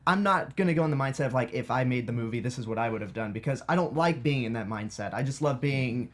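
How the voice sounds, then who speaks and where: Man, medium pitch, casual reviewer, explanatory, restarts his phrases, conversational panel talk. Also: expressive, quick pace.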